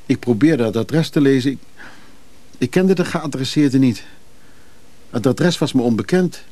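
An actor playing a restless, moody lover in a radio drama, speaking haltingly and reflectively. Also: male voice, mid-range voice, expressive, mellow, no echo. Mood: haunted, brooding. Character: restless moody male lover haunted by dreams. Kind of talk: intimate radio drama acting.

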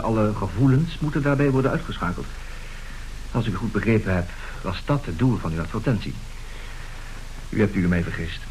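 An actor playing a formal, excitable, grandiloquent gentleman given to florid speech in a radio play. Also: lowish voice, close to an everyday voice, low-quality recording. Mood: formal, apologetic. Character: flustered, grandiloquent gentleman dealer in love letters. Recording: hissy old radio drama recording.

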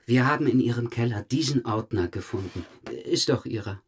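An actor playing a dogged police detective questioning a suspect in a German crime audio drama, matter-of-fact and dryly pointed. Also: male voice, medium pitch, a little fast, slightly rough, close to an everyday voice. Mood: sardonic, pressing. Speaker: police investigator.

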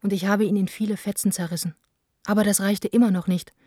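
Actress as mellow-voiced, wounded young woman. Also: medium pitch, steady tone, fast, no echo, studio quality, close to an everyday voice. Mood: hurt, defiant. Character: young woman, passionate, wounded, writing candid letters. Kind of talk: radio play, letter read as monologue.

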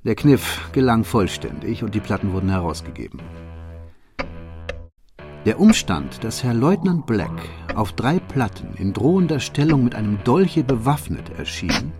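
An actor playing a shrewd detective in a German radio play, laying out his case in a deliberate, formal and precise manner. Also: fairly low pitch, big pitch swings, a little mellow, faint music behind. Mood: methodical, assured. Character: shrewd, methodical male detective recounting his solved case.

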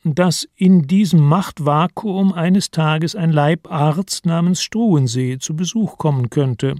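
An actor as a sober chronicler, giving composed, factual, literary narration in a radio play. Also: male voice, on the high side, slow, a little mellow, no echo, studio quality. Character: sober male chronicler of royal history. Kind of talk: scripted narration.

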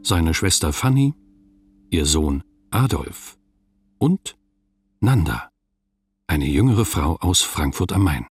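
A slow, deep-voiced male announcer. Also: very animated, background noise. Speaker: announcer reading stage directions. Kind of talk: slow, formal narration.

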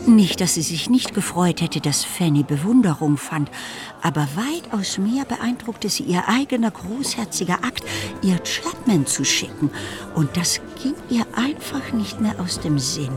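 A woman's voice: lowish voice, animated, unhurried. Female narrator over music. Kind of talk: scripted literary narration in a radio play.

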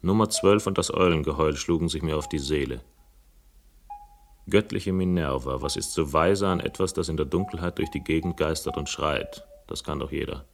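A world-weary crime hero with a very low, gravelly voice. He speaks with dry, laconic irony, in the manner of a radio play narrator. A faint noise sits on the older radio recording. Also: moderate pace. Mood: brooding, sardonic. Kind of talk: German radio crime drama, first-person narration and dialogue.

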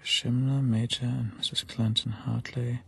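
An actor playing a cautious man carefully dictating a list in a radio drama, deliberate and measured. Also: medium pitch, flat delivery, gravelly, a bit bright, a little hiss or hum.

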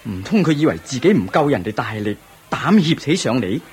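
A proud, doting king of ancient times acted by a man for a Cantonese radio drama. His voice is very husky and moves widely in pitch. He speaks with regal, theatrical weight and a Hong Kong accent. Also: big pitch swings, a little fast, a little mellow, faint music behind. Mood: questioning, uneasy.

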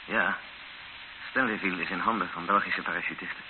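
A tense, sober male captive played by an actor speaks low and earnestly, as the witness at the centre of a radio play. The sound is thin and tinny, like an old broadcast, with clear noise. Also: steady tone, a little fast, natural voice. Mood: uneasy. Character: tense captive Dutchman, a witness recounting his ordeal. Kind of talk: first-person radio drama narration and dialogue.

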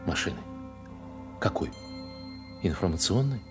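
Space officer in radio play, lowish voice, dutiful n questioning, music behind him. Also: male voice, very animated, a little slow, exaggerated voice. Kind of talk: radio drama acting.

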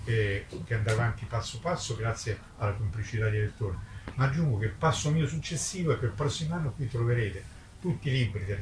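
Older man speaking emphatically, echoey and distant. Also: medium pitch. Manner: emphatic, polemical, repeats words for emphasis. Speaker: independent publisher. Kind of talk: impromptu speech at a literary book presentation. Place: live book presentation at a festival event. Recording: distant mic, echoey, noisy ambience.

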